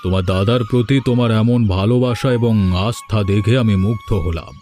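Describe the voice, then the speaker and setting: A man voicing a dutiful aging village headman and father in a moral-story cartoon, measured, formal and admonishing. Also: lowish voice, steady tone, warm tone, faint music behind, lightly acted. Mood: measured approval.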